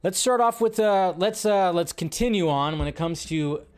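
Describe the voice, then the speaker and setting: Man, fairly high voice, rambling casual chat, sleepy, loses his thread. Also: moderate pace, a little mellow, clean tone. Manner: chatty and rambling, loses his train of thought. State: sleep deprived. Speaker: movie news host. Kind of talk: casual live-stream commentary.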